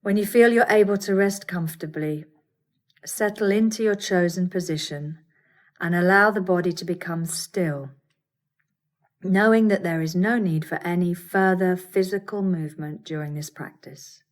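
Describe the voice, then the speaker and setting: Lower-voiced woman guiding a meditation. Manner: calm, soothing, deliberate instruction with pauses. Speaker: yoga nidra teacher guiding a relaxation practice. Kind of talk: guided meditation.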